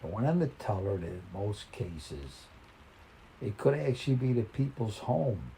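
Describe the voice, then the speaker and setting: A man reading a folksy first-person story in a halting, conversational way. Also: lowish voice, sing-song, vocal fry, dark tone, a little hiss or hum, rough recording.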